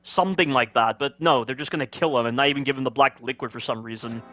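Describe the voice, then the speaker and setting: A man's voice: medium pitch, fast talker, bright, forceful tone. A man giving critical voice-over commentary on game cutscenes, asking one rhetorical question after another.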